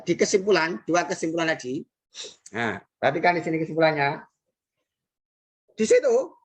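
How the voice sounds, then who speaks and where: Slow, lively-voiced Indonesian woman teaching math. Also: fairly low pitch. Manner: patient, repeats key phrases, frequent "nah" and "hmm" while working problems. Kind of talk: recorded classroom math lesson.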